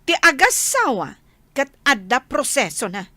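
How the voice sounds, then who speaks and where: Brash, high-pitched flirty Filipina. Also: female voice, big pitch swings, measured pace, bright, forceful tone, no room sound, heavily acted. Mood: defiant, mocking. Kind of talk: radio drama acting.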